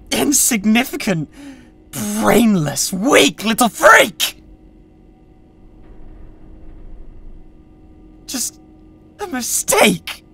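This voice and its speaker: A woman's voice: a little high, gravelly, slightly rough, heavily acted. A British woman playing an unhinged, condescending mad scientist with a god complex in a theatrical ASMR roleplay. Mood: furious. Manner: theatrical, condescending, swinging between hushed and screaming. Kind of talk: dramatic ASMR roleplay monologue.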